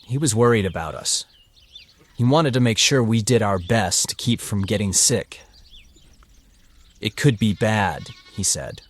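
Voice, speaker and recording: A man playing a low-voiced, earnest young villager. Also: unhurried, long pauses. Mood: guarded, worried. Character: earnest young man of a farming family, worried. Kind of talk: audio drama dialogue.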